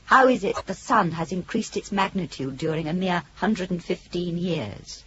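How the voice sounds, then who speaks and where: An actress playing a calm, calculating female ship computer in a radio drama, speaking in a formal, coldly logical way. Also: on the low side, moderate pace, natural voice. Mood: cool, calculating. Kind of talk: 1980s radio drama acting. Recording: dull old radio recording, light noise.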